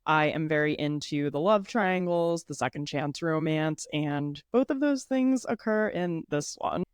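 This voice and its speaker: A woman's voice: low-pitched, unhurried, clean tone, no room sound, clean studio sound. A woman in her thirties hosting a casual solo podcast, chatty and upbeat, sounding a little congested. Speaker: podcast host.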